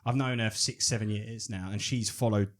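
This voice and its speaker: A man's voice: lowish voice, steady tone, brisk. A man hosting a podcast, asking friendly questions in a casual, agreeable way. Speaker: podcast host.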